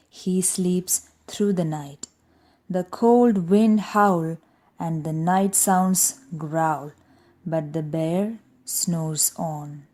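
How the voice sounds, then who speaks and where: A woman narrates a children's storybook very slowly. Her voice sits slightly low, and she reads with deliberate, expressive rhythm. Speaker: storybook reader. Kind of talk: children's read-aloud narration.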